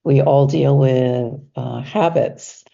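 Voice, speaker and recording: A woman with a low, gravelly voice, stuffy from allergies, chatting as a guest on a lo-fi call. Her tone is mellow and husky, with small laughs and drawn-out stressed words. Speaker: guest coach. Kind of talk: casual interview conversation.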